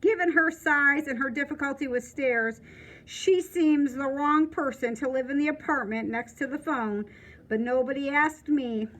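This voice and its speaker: A woman teacher reading a story aloud expressively, pausing to explain things to young listeners. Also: high-pitched, fairly bright tone, rough recording.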